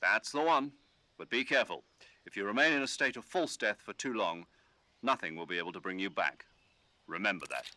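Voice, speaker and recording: A calm commanding officer. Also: male voice, fairly low pitch. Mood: steady. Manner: measured, instructive briefing tone. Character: calm British male commanding officer on the radio. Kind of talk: game dialogue.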